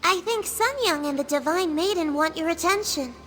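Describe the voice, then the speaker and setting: A woman voicing an eager, devoted young girl disciple in a video game, sweet, soft and slightly hesitant. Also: very high-pitched, moderate pace, faint music behind. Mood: shy, wistful. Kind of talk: video game character acting.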